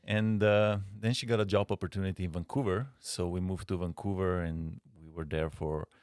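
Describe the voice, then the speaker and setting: A man from Slovenia with a Slovenian accent, a guest designer answering interview questions in a halting way with frequent pauses. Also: low-pitched, fairly even intonation, darkish tone, smooth, dry recording, clean studio sound.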